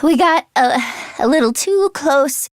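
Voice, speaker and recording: A woman voicing a nervous, expressive teenage girl fighter in an English video game, with casual youthful phrasing. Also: high voice, unhurried, dry recording, studio quality. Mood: thoughtful, flustered.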